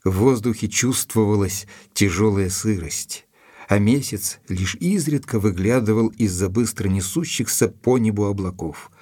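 An actor playing a composed gentleman narrating his own adventure in a measured way for an audio drama. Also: on the low side, breathy edge, no echo, clean studio sound. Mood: measured suspense. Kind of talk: scripted first-person audio drama narration.

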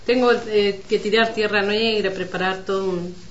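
Una mujer con acento argentino interpreta a una vecina curiosa y desenfadada en un radioteatro comunitario. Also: female voice, mid-range voice, fairly even intonation, normal speed, a loud crowd, lightly acted. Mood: curious. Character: inquisitive, easygoing neighbour woman. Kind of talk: amateur community radio play, casual chat. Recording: muffled, roomy, with chattering voices behind.

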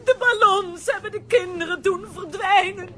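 A woman's voice: very high voice, moderate pace, pushed and bright, slight background noise. An actress playing a girlish, plaintive, centuries-old magical sister in a children's radio play. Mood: anxious. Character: ancient magical sister, girlish, sweet, tearful and remorseful.

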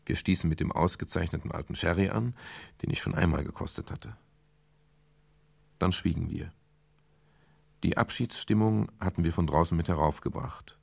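An actor playing a brooding private detective who narrates his case in a measured, melancholy, literary way in a radio crime drama, with a gravelly, husky voice. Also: sits low, no echo, heavily acted. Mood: pensive, melancholy. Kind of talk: 1970s German radio crime drama, first-person narration.

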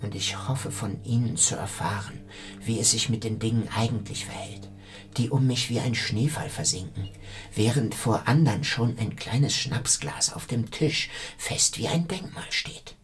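An actor playing an odd, needy man who confesses in a halting, politely evasive way in a radio play. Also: medium pitch, faint music behind, close to an everyday voice. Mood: confiding. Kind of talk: German radio play acting.

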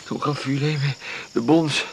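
An actor playing a wounded, despairing man in a radio drama, speaking weakly in halting, broken phrases. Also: mid-range voice, steady tone, lightly acted. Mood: dying confession. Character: wounded, despairing man confessing, weak and faltering. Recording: noisy radio play recording. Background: crickets and insects.

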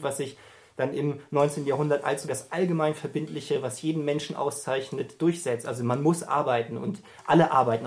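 A man giving a spontaneous, analytical explanation as an interviewed commentator. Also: medium pitch.

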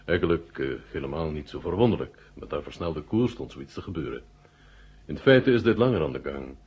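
An actor playing a courteous, shrewd Soviet marshal in a radio drama, speaking in a measured, formal, self-assured way. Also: male voice, very deep voice, slightly rough, exaggerated voice. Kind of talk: 1970 Dutch radio drama acting. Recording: dull 1970 radio recording.

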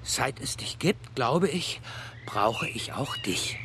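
A man acting a restless, playful father in a children's radio play, musing and gently evasive as he banters. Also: mid-range voice, expressive, slow, gravelly, background noise. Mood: playful, affectionate. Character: restless, playful father talking with his young daughter. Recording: studio radio play with music.

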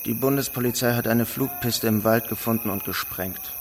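A man's voice: medium pitch, moderate pace, a little hiss or hum, lightly acted. An actor playing a grave man in his mid-thirties who reports in a terse, matter-of-fact way in a radio drama.

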